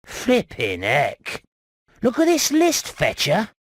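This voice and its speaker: A man playing a cheeky, shifty rat salesman in an animated game, with oily, theatrical patter. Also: high-pitched, slow, husky, heavily acted. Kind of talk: animated video game voice acting.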